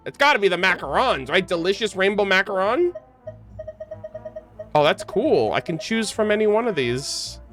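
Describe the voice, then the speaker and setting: A man streams a video game with a bright, forceful voice that sits high and moves around a lot. His commentary is casual and slangy, with frequent swearing, and faint music plays behind him. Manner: slangy, swears freely, excitable. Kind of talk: casual live gameplay commentary, reading game text aloud.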